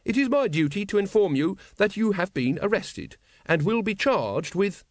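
An earnest young constable, acted by a male narrator, pitched up and stiffly official. He recites in stilted, literal-minded formal phrases, as in a comic fantasy storybook. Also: sing-song, moderate pace, no room sound, clean studio sound. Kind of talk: audiobook character acting.

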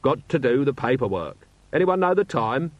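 A man's voice: medium pitch, sing-song, normal speed, no echo, highs cut off, pitched above the performer's own voice. Tired watch commander, played by a man, dry humour, muttered, wry, storybook character, dry studio sound. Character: weary, dry-humoured male watch commander, battered and exhausted. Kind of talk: audiobook character voice.

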